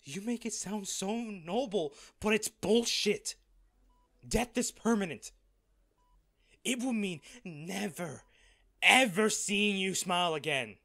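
A man playing a wealthy, devoted, wounded lover in an intimate, halting audio drama. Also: sits high, expressive, vocal fry, pushed and bright, no echo, full character voice. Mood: frustrated, earnest. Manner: slow, halting, emotional delivery. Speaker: voice actor in an audio roleplay.

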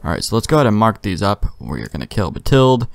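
Male let's play host, lower register, loose joking commentary, casual profanity. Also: sing-song, fast, creaky. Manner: sweary, joking, talks back to game characters.

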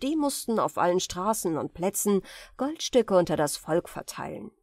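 A woman reading a fairy tale as an audiobook narrator, in expressive, carefully enunciated storytelling. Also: fairly low pitch, normal speed, no echo, studio quality.

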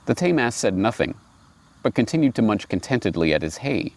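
A man in his forties giving reflective commentary and reading aloud, deliberate and emphatic, recorded outdoors on a lapel mic. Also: lowish voice, very animated, a little mellow. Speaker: host and commentator. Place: outdoors on grass by trees and water.

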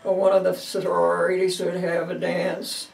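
Older woman, gravelly, hesitant, roomy distant sound. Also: fairly low pitch, monotone, moderate pace, a little mellow. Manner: hesitant, searching memory. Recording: distant echoey room.

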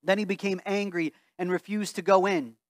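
A man's voice: sits high, steady tone, moderate pace, dry recording, studio quality. A man in his thirties, a pastor, preaching and reading scripture aloud earnestly in a church sanctuary. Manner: earnest, reads aloud from a Bible while standing. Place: church sanctuary before a stained-glass wall.

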